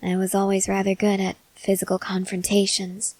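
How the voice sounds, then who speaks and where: A woman playing a stoic, confident young woman soldier in an ASMR roleplay, speaking in a measured, serious, guarded way with hesitant pauses. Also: mid-range voice, monotone, a little mellow, a little hiss or hum, natural voice. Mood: cool, confident. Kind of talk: ASMR roleplay, acted scene to a listener.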